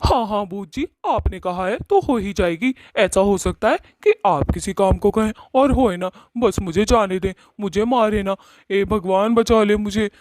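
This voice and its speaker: A man's voice: high voice, unhurried, a little mellow, no echo, studio quality. A man voicing a fearful old villager in a cartoon story, repeating words in a pleading, agitated way. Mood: terrified pleading. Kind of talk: Hindi cartoon story voice acting.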